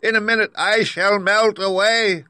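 A man voicing a wicked, cunning old witch in a storybook, with a wailing, screechy voice and drawn-out theatrical delivery. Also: high-pitched, bright, forceful tone, dry recording, clean studio sound, voice pushed up, heavily acted. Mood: desperate wailing.

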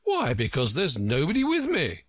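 Man putting on a pompous slow-drawling egg creature. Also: mid-range voice, wildly swooping pitch, a bit bright, voice pushed up, full character voice. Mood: grand, dismissive. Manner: haughty, drawn-out, growling. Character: pompous, testy egg-man perched on a wall. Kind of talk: storybook character reading.

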